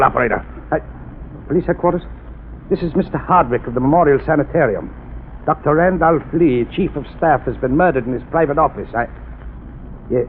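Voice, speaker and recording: A man playing a nervous, suspicious laboratory chief in a 1930s radio drama, quick to accuse. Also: mid-range voice, lightly acted. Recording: tinny old radio broadcast with faint hiss.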